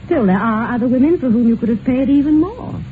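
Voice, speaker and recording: Actress as poised British wife, tinny old radio. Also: female voice, on the high side, lively intonation, fairly quick, a little hiss or hum, lightly acted. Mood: arch. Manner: genteel, poised, archly ironic. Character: proud, unhappy young wife of a rich husband, wistful and cutting. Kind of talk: old-time radio drama acting.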